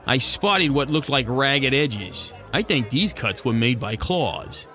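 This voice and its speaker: A man's voice: mid-range voice, animated, slow, bright, forceful tone, faint music behind. A man playing a hard-boiled penguin private eye, narrating a noir radio drama in wisecracking slang. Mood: matter-of-fact.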